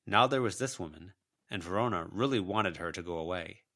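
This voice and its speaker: A man's voice: low-pitched, lively intonation, fairly quick, a bit bright. A man narrating a fiction audiobook from a written text. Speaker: audiobook narrator, volunteer reader.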